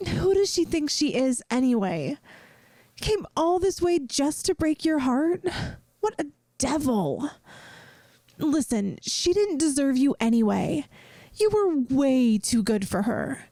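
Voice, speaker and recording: A woman playing a caring young best friend in a soft, intimate ASMR roleplay, speaking in a gentle, earnest, playful way. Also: sits high, sing-song, slow pace, dry recording, heavily acted. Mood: indignant, protective. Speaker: audio roleplay performer.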